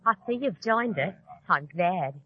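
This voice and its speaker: A woman's voice: lowish voice, lively intonation, a little slow. A woman playing a naive, wistful sweetheart in a 1940s radio drama, dreamy and confiding. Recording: dull, lo-fi old radio recording.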